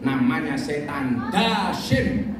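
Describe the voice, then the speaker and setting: A man's voice: fairly high pitch, big pitch swings, slow pace. A man with a Javanese accent preaching at a question-and-answer gathering, joking and telling stories as he answers. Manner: joking storyteller, mixes in regional language. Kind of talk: humorous sermon Q&A. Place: large echoey venue. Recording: echoey hall, distant, loud crowd. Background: loud crowd and noise.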